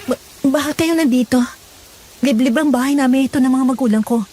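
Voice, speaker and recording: Woman acting a high-voiced, frightened young village woman. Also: brisk, warm tone. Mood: fearful, refusing. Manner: stammering, pleading refusals. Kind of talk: audio horror drama acting.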